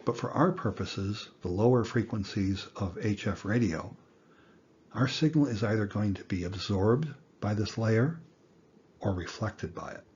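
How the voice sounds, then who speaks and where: A man in his sixties giving an educational lecture in plain words, with a deliberate, folksy manner. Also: fairly low pitch, animated, darkish tone, muffled recording, a little hiss or hum. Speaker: instructor.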